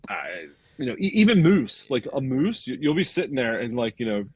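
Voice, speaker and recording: Man, mid-30s, guest, chatty and agreeable, medium pitch, dry close sound. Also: big pitch swings, normal speed, breathy edge, no echo. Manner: chatty, agreeable, says "yep" a lot. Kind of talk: casual chat.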